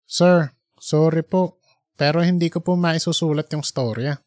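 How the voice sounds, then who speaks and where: A man's voice: on the high side, steady tone, measured pace, darkish tone, no echo, lightly acted. An earnest young male reporter, acted by a male narrator in an audiobook tale. He is apologetic, polite and hesitant as he pleads with a superior, recorded close and dry. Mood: apologetic, pleading.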